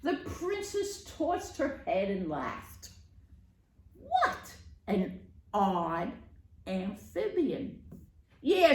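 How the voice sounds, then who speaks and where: Slow, very animated woman storyteller in roomy space. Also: on the low side. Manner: teacherly, asks questions and pauses for answers. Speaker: children's librarian and storyteller. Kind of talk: read-aloud storytime for children.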